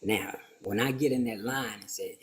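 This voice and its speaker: A man in a played clip telling a casual story in an animated way, often taking on the voices of others. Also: fairly low pitch, normal speed.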